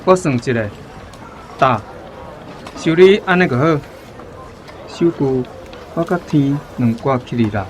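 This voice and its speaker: A man's voice: medium pitch, lively intonation, a little slow, long pauses, slight background noise, lightly acted, rough recording. An actor playing a chummy, ingratiating male grocer in a radio drama. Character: fawning male grocer, chummy with big customers, snobbish. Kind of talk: Taiwanese-language radio drama acting.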